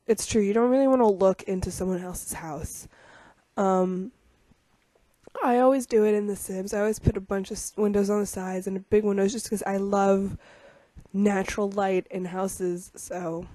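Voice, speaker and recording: A young woman with a rough voice from getting over a cold, chatting casually over a game video with lots of ums and self-deprecating asides. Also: mid-range voice, warm tone, no room sound. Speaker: YouTuber narrating a game speed build.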